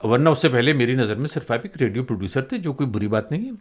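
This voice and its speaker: Older man, interviewer, medium pitch, conversational, discursive, literate wording. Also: animated, racing. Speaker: podcast host and interviewer.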